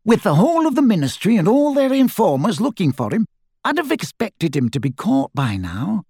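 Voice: mid-range voice, wildly swooping pitch, normal speed, warm tone, breathy edge, no room sound, clean studio sound, pitched above the performer's own voice. A performer voicing a gloomy, wary man on the run who talks heavily and pessimistically, in an audiobook. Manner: heavy, pessimistic.

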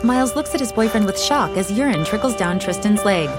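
A woman reading scripted audio description in a calm, even, precise way. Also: mid-range voice, brisk. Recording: voice over soundtrack music.

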